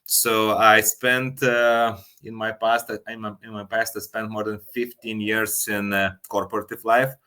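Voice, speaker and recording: A man from Lithuania with a Lithuanian accent, answering as an interview guest in halting non-native English with repeated words. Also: fairly low pitch, flat delivery, bright, forceful tone, smooth. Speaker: guest, company co-founder and sleep coach.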